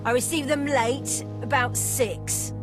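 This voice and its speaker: A woman's voice: high voice, steady tone, slow pace, music in the background. An actress playing a blunt, defensive working-class woman fruit seller in a video game. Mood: explaining. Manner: blunt, colloquial.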